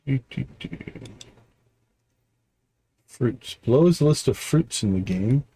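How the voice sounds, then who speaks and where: A man's voice: medium pitch, animated, takes it slowly, mellow. A man livestreaming a video game, casually thinking aloud as he plays. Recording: clear background noise.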